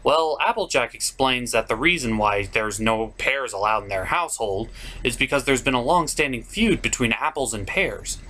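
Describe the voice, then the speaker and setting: Theatrical man with swooping, animated pitch. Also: normal speed, a little hiss or hum. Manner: theatrical, exclamatory. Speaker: cartoon reviewer and host. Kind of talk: scripted comedic review narration.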